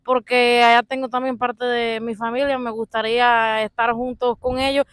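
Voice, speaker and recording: A woman's voice: fairly high pitch, flat delivery, normal speed, smooth, dry recording. A woman with a Cuban accent answering questions as a street interviewee. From Cuba.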